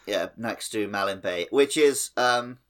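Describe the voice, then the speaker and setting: A man with a fairly low voice co-hosts a gaming podcast. His talk is casual and conversational. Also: measured pace, a bit bright. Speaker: podcast co-host. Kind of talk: casual chat about a video game.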